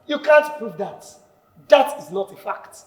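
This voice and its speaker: An actor playing a smug, condescending young debater who insists on logic. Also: male voice, very high voice, lively intonation, unhurried. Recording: echoey hall, faint noise.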